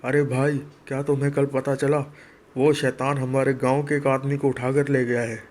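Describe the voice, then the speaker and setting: A man acts a worried villager in an animated moral tale. He talks earnestly, in an everyday conversational way at medium pitch. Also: normal speed, close to an everyday voice. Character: worried village men discussing a ghost.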